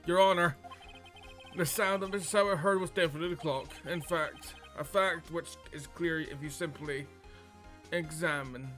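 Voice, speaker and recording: A man's voice: fairly high pitch, a bit bright. A man voicing an earnest male defense lawyer in a courtroom video game, with a dramatic, self-questioning manner.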